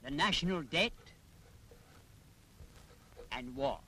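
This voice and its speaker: A man's voice: mid-range voice, animated, slow, bright, forceful tone, slight background noise, low-quality recording. An actor playing a knowing Scottish man who lectures didactically in a theatrical 1940s public information film style. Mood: patiently explaining.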